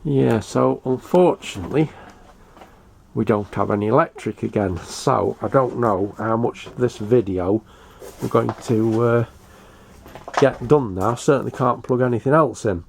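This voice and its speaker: Older British man with creaky voice. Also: mid-range voice, a little slow, a little hiss or hum. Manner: hesitant, matter-of-fact. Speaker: hobbyist video presenter. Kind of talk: casual show-and-tell commentary.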